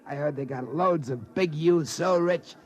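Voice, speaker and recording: A cocky, boozy skid-row drifter out for easy money. His voice sits a little high and he talks in brash street slang, in the manner of old 1950s radio drama. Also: a little slow. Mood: boastful, scheming. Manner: cocky slang-heavy street talk, dropped g's. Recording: old radio broadcast recording.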